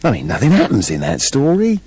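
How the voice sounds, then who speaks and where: A man's voice: mid-range voice, huge pitch swings, a little fast, dark tone, breathy edge, no room sound, exaggerated voice. An actor playing a sardonic, put-upon male wolf in a comic radio play, with a dry, argumentative, incredulous manner.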